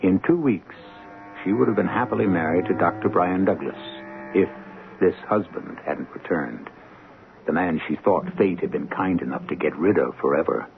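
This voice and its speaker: A man narrating an old-time radio drama as its host, grave and portentous, with deliberate dramatic phrasing. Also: low voice, noisy background. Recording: tinny old radio recording. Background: music.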